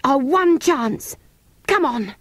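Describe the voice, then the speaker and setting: A woman voicing an earnest, bold young girl in a storybook, with an eager, coaxing and gentle manner. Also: high voice, lively intonation, takes it slowly, dry recording, voice pushed up, heavily acted. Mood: excited. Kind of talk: storybook character voice.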